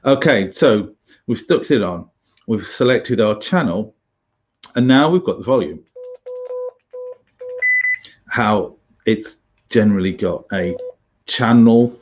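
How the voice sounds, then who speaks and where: Low-voiced British man teaching, slightly muffled. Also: a little mellow, a little hiss or hum. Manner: halting, chatty, wry asides. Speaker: survival instructor, presenter. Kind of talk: informal spoken tutorial.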